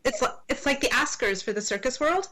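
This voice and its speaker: A woman's voice: high-pitched, lively intonation, a little fast, pushed and bright, a little hiss or hum. A woman hosting a podcast interview, asking friendly, encouraging questions.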